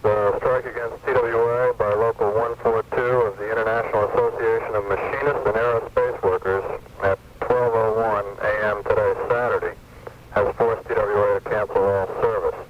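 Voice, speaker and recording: A man's voice: lowish voice, fairly even intonation, moderate pace. A man giving a prepared statement as a company spokesman, somewhat hesitant. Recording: very noisy, distant, muffled AM radio recording.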